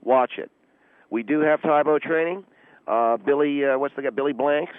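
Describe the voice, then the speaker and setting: A man's voice: on the high side, normal speed, clear, resonant tone, dry recording, lightly acted. A man playing a pompous, dim wannabe vigilante and former store guard, defensive and bumbling as a guest in a radio comedy argument. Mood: pompous, warning. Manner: defensive, self-important, bumbling. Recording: narrow-band radio broadcast.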